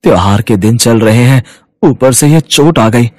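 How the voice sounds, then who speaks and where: A man's voice: mid-range voice, measured pace, darkish tone, no room sound, lightly acted. A man acting a troubled poor toy-seller. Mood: worried. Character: worried, injured poor village toy-seller husband. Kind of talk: Hindi moral-story cartoon dubbing.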